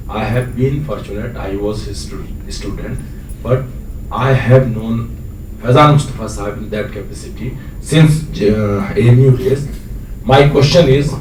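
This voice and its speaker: A man's voice: mid-range voice, darkish tone. A man from Bihar with an Indian accent, a non-native English speaker, asking a long, earnest question from the floor with many hesitations. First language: local dialect of Bihar. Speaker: audience member asking a question. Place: lecture hall. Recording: echoey hall, distant mic, faint noise.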